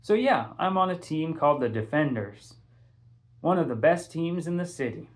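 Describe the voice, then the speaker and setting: A man's voice: fairly high pitch, lively intonation, normal speed, voice pushed up. A man voicing an upbeat, proud schoolboy athlete in an audiobook, casual but respectful.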